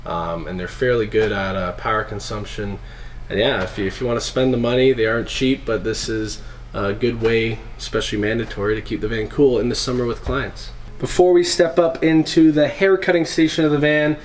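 A man's voice: mid-range voice, a little hiss or hum. A young man, stuffy with a head cold, casually presenting a van tour to camera in a workshop. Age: young adult. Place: inside and beside a van in a workshop garage.

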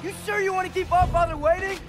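A man's voice: very high voice, steady tone, fast talker, music in the background. A young man playing an eager, defiant teenage boy in a video game. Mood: indignant. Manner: earnest, defiant. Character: eager, defensive teenage boy, part giant. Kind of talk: video game character acting.

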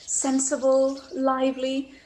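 A woman's voice: high-pitched, completely flat delivery, slow. A young woman playing a sweet, trusting, earnest Regency sister in a period drama read-through. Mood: gentle, admiring. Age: young adult. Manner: gentle, earnest. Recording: faintly noisy video call.

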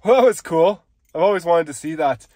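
A man's voice: animated, brisk. A man, talking casually to the camera in a laid-back travel vlog and sometimes trailing off. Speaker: vlogger. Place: outdoors in snowy woods, sometimes in a vehicle. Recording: outdoor background noise, vehicle rumble.

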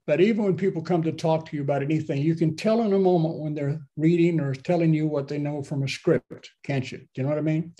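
A pastor in his seventies talks through his sermon from a small home office, speaking into a webcam on a video call. His voice sits fairly high but sounds mellow and warm. He rambles casually and often turns to his listeners with questions. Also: fast talker, few pauses, highs cut off. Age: 70s. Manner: conversational, rambling preaching with asides and questions to listeners. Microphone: laptop webcam on a video call.

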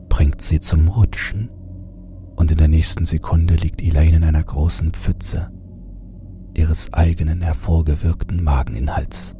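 A man narrates a horror tale in a very low, dark voice. His delivery is slow and suspenseful, dropping toward a hush at times, over a faint bed of noisy ambience. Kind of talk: scripted audiobook narration of a horror story.

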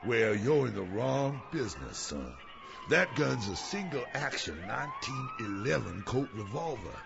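An actor playing a wry, seasoned police officer in a radio drama, chiding in a folksy way. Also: male voice, medium pitch, wildly swooping pitch, unhurried, creaky, noisy background, full character voice. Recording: dull radio drama sound with music.

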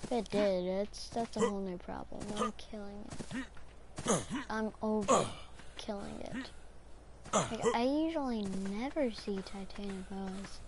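Slow-talking hoarse woman gamer. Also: medium pitch, background noise. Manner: rambling, talks to herself while playing. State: hoarse, recovering from strep throat. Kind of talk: casual gameplay commentary.

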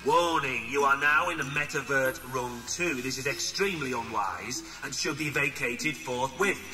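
A man's voice: medium pitch, normal speed, bright, forceful tone, faint music behind. Man as a distant, officious warning announcer. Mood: stern, official. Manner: formal, bossy. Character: officious, exasperated public warning voice of a virtual world. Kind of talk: announcement in an audiobook.

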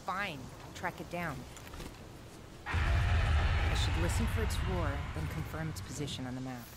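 A woman's voice: deep voice, unhurried, very noisy. A woman playing a determined, frustrated hunter in a video game, terse and thinking aloud to herself. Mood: tense, focused. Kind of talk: video game cutscene acting. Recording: loud music behind the voice.

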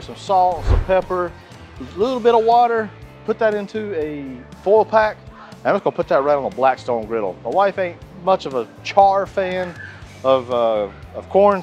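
A man's voice: on the high side, a little mellow. Folksy man cooking outdoors. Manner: folksy, chatty, says "y'all" often. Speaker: cooking channel host. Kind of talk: casual cooking vlog. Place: outdoors on a beach by the ocean. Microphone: clip-on lapel mic.

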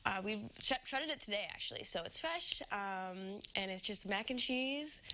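A woman's voice: medium pitch, expressive, moderate pace, sharp tone, a little hiss or hum, low-quality recording. A young woman in her twenties, a student chef chatting hesitantly with many ums on a TV studio kitchen set. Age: 20s. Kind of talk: casual on-air cooking chat.

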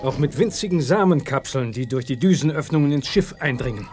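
An actor playing an earnest professor in a science-fiction audio drama, explaining things emphatically. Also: male voice, mid-range voice, lively intonation, a little fast, a little mellow, close to an everyday voice. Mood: urgent explaining. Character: earnest male professor, expedition's scientific mind.

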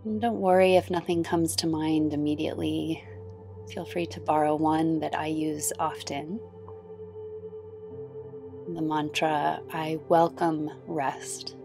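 A woman guiding a yoga nidra meditation in a soothing, gentle, unhurried manner. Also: low voice, steady tone, creaky. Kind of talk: guided meditation. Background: background music.

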